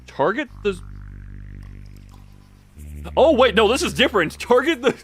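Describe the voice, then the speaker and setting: Man with a very animated, lively pitch. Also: a little slow, slightly rough, faint music behind. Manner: thinks out loud, often breaking off mid-sentence. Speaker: game streamer. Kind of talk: live gameplay commentary, casual.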